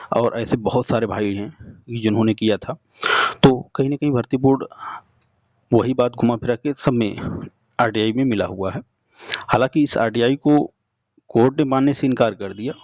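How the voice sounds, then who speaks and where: A young man gives an informal explanatory update, speaking to listeners directly in halting, repetitive phrases. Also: mid-range voice, darkish tone, no echo. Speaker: exam update presenter. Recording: dull lo-fi sound.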